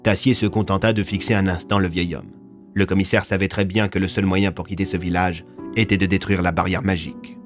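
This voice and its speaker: Low-voiced man narrating a story. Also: little pitch movement, brisk, soft background music. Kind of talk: scripted narration of audio fiction.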